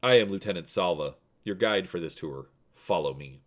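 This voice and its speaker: A man's voice: sits low, animated, moderate pace. A man playing an officious, droning imperial officer giving a formal guided tour in a science fiction story.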